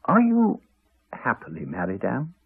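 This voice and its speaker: An actor playing a courteous, wry English country doctor, middle-aged, with a hesitant, chatty manner in a 1980s radio drama. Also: male voice, medium pitch, huge pitch swings, a little slow, breathy edge, exaggerated voice. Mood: hesitant, serious. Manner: hesitant, chatty, clipped phrases. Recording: slightly dull old radio recording.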